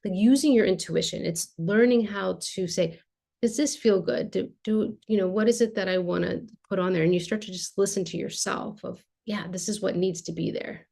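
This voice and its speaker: A woman's voice: mid-range voice, normal speed, gravelly. A woman in her thirties, an art therapist, explaining her work in a casual video-call interview with lots of fillers. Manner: animated, explains with hand gestures, many fillers. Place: home art studio with shelves. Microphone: video call webcam.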